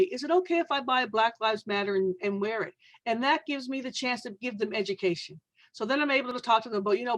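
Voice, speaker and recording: A woman's voice: mid-range voice, a bit bright, no room sound, poor audio. A middle-aged Canadian woman, a small business owner, answering earnestly and at length in a podcast interview.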